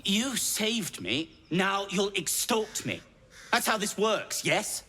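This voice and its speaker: Wry gnome, lively and deliberate. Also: male voice, high voice, exaggerated voice. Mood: suspicious. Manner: dry, formal, deliberate phrasing. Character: wry, proud male deep gnome, sardonic and weary. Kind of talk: video game voice acting.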